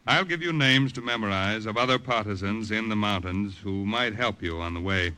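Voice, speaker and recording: A man playing an authoritative, measured army colonel in an old radio drama. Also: fairly low pitch, pushed and bright, smooth, no room sound. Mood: serious. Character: authoritative, measured male army colonel planning a wartime mission. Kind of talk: 1940s-50s radio drama acting.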